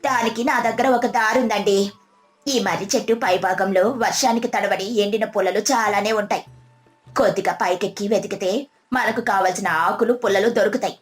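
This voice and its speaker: A woman voicing a brave, practical, devout village daughter-in-law in an animated moral story, speaking encouragingly. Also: medium pitch, natural voice.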